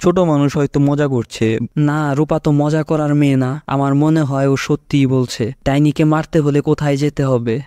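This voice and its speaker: A man's voice: on the high side, flat delivery, fairly quick, mellow, clear, resonant tone, no echo, lightly acted. A man voicing a village uncle in a cartoon, with a conversational, questioning delivery. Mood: puzzled.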